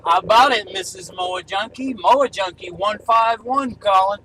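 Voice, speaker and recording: High, bright-voiced man calling on radio. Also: moderate pace, a little hiss or hum, low-quality recording. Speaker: CB radio hobbyist running a range test. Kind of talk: casual radio calls.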